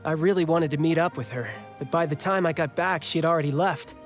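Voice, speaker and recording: A man's voice: fairly high pitch, quick pace, close to an everyday voice. A young man voicing a breezy, battle-hungry warrior in a video game who is still recovering from a fight. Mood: casual. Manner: breezy and casual, with coughs. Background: game music.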